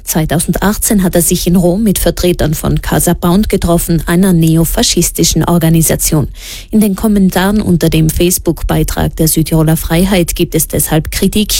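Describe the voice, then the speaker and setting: A woman presenting regional radio news in a steady, measured read. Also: lowish voice, no room sound. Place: radio studio. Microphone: studio microphone.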